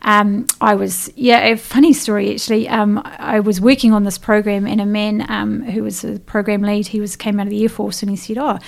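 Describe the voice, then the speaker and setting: A woman with a New Zealand accent, a guest in a casual interview, telling animated stories with lots of fillers and laughs. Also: mid-range voice, fairly quick, gravelly, hardly any pauses.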